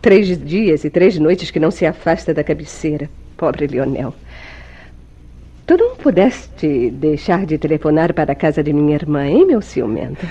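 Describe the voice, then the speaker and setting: An actress playing a secretive young wife in an old-fashioned Brazilian radio soap, with emotive, formal diction and a Brazilian accent. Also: female voice, low voice, animated, moderate pace, dark tone, breathy edge. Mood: reassuring, evasive. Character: secretive young wife, tender, anxious, sweetly deceitful.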